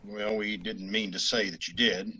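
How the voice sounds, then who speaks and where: A man's voice: on the low side, fairly even intonation, moderate pace, bright, forceful tone, husky, heavily acted. A man playing a terse, matter-of-fact police detective sergeant in an old-time radio drama, in short clipped sentences. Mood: polite, official.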